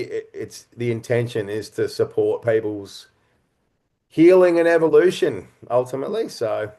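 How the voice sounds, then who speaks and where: A man speaking as an interview guest, earnest and reflective, explaining his creative work in halting, conversational phrases. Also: mid-range voice, dark tone. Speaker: interview guest, writer-director and actor.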